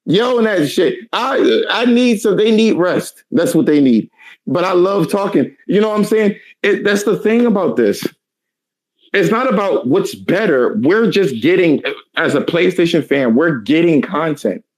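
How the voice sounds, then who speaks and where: A man in his thirties with a raspy, tired voice, ranting profanely in a heated casual chat. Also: high-pitched, expressive, unhurried, low-quality recording. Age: 30s. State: tired, hoarse voice.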